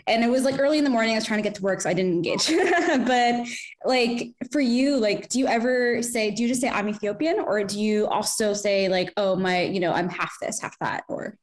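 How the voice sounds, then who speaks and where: A woman in a casual podcast conversation, asking questions and telling an anecdote in an animated, chatty way. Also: on the high side, brisk. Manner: animated, laughs while telling stories.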